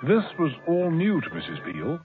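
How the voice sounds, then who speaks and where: A man's voice: fairly high pitch, huge pitch swings, normal speed, narrow, tinny audio. A man narrating a 1970s radio drama serial in a dramatic, wry storytelling manner. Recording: thin old radio recording with music.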